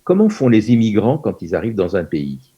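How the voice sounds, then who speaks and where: A man's voice: on the low side, big pitch swings, a little fast, dark tone, husky, highs cut off. An older man in his seventies from France, a Yiddish teacher being interviewed over a video call, hesitant with many fillers and restarts.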